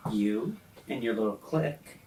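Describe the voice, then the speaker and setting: Guy playing determined high school kid cutting a deal in an rpg, talks slow, roomy with faint noise. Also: dark tone, recorded from a distance. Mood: firm, defiant. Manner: firm, negotiating.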